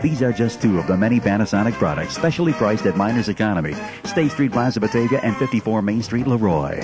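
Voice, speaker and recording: Fast-talking man in a radio ad. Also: on the low side, lively intonation, over music. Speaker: commercial announcer. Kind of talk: scripted retail radio advertisement.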